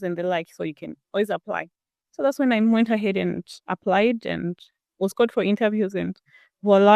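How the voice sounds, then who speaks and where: A young woman from Zambia, a guest scholar telling her story in a lively, conversational way. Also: medium pitch, clean tone, no echo, clean studio sound. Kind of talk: conversational storytelling on a video call.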